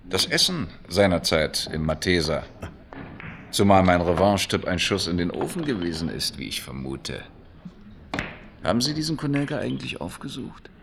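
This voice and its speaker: An affable man who quietly probes, in a radio drama. The voice is low and gravelly, with very mobile intonation. His manner is polite, deliberate and insinuating. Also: animated, background noise. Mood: affable, probing. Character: probing, outwardly affable man, quietly persistent. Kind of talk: radio drama dialogue acting.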